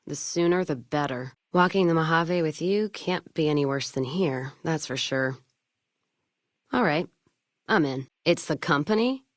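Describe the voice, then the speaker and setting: A tough female caravan boss from a video game, pitched low for a woman. She is blunt and sardonic, swears freely and sounds worn down by a hard-drinking life. The sound is dry, with no room to it. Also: lively intonation, a little slow, no room sound. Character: tough, hard-drinking, foul-mouthed, world-weary female caravan owner. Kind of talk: video game character dialogue.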